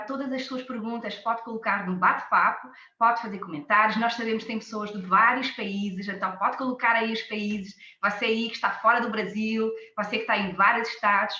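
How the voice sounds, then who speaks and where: A bright, forceful woman from France speaking Portuguese with a French-tinged accent. She hosts a live online health talk, repeating words for emphasis. The audio is roomy and dull, like a webinar call. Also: medium pitch, steady tone, moderate pace, few pauses. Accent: French-influenced Portuguese, shaped by years in Portugal. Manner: enthusiastic, repetitive emphasis.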